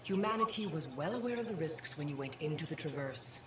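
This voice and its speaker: Alien stateswoman, stern, dismissive, lowish voice, echoing chamber. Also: lively intonation, fairly quick. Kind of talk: scripted video game dialogue. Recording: echoey chamber, music behind.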